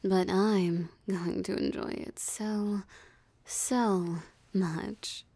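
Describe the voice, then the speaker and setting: A woman as a slow, sultry, possessive vampire. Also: medium pitch, steady tone, warm tone, no echo, full character voice. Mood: savoring, possessive. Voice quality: breathy, often half-whispered. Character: possessive, teasing female vampire savoring her captured prey. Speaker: voice actor. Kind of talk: ASMR roleplay monologue.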